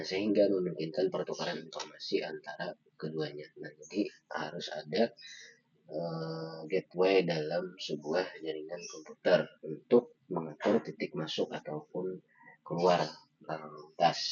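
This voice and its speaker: A young man giving a halting student slide presentation, pausing often on fillers. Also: very deep voice, a little mellow. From Indonesia. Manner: halting, with frequent filler pauses. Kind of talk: slide presentation of an article review. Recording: dull lo-fi microphone sound.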